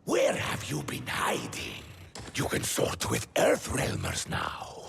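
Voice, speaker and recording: A man voicing a greedy, menacing bounty collector creature in a video game, with a rasping, sneering delivery. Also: medium pitch, huge pitch swings, takes it slowly, a bit bright, a little hiss or hum, full character voice. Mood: sneering menace. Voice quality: gravelly, rasping. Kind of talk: cinematic video game villain acting.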